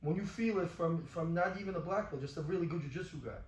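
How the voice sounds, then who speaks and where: A man speaking quickly and emphatically, cursing freely and repeating his points. His voice sits a little high. The room around him is echoey. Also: distant mic, slight background noise. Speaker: co-host, former fighter. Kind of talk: casual chat.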